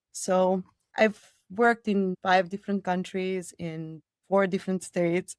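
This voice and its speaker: Lower-voiced Romanian-born woman, dry sound. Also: no room sound. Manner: expansive, digressive, emphatic repetition. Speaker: people operations director, guest. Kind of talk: interview answers.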